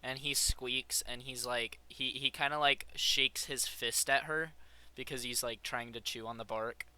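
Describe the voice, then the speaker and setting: A man's voice: medium pitch, steady tone, unhurried, pushed and bright, smooth. A man running a tabletop game as game master, casual and hesitant.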